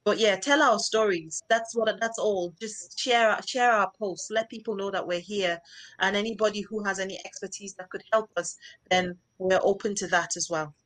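A woman's voice: medium pitch, normal speed, fairly bright tone, low-quality recording. A middle-aged woman with a Nigerian accent, a charity founder speaking earnestly and gratefully as a guest in a casual video chat. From northeastern Nigeria. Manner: earnest, grateful, repeats herself. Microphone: video call.

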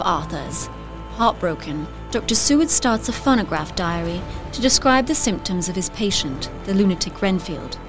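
Female announcer, medium-pitched, scripted story-recap read over clear music.

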